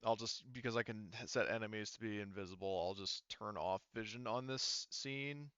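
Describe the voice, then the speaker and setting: A man running a tabletop roleplaying game, explaining casually and thinking out loud as he goes, often repeating himself. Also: on the low side, normal speed, clear, resonant tone, no room sound, muffled recording. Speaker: game master.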